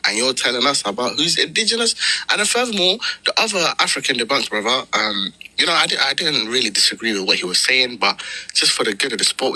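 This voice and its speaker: A man from Somalia with a very sharp, forceful voice and a husky edge. He argues in an online debate, mocking his opponents with pointed rhetorical questions. The sound is dry and close. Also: medium pitch, no echo, rough recording. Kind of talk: heated online debate, trash talk.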